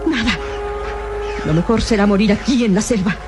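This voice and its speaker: A woman's voice: mid-range voice, moderate pace, natural voice. Actress as a guilt-tormented young woman, melodramatic radio serial. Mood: despairing, bitter. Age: young adult. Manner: melodramatic, anguished. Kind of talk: old radio drama acting. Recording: radio drama with prominent background music.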